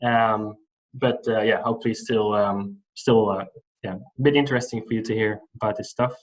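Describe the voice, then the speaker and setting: A man in his thirties from the Netherlands with a Dutch accent, giving a relaxed online lecture over a video call with many fillers. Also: fairly low pitch, normal speed, rough recording. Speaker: guest lecturer.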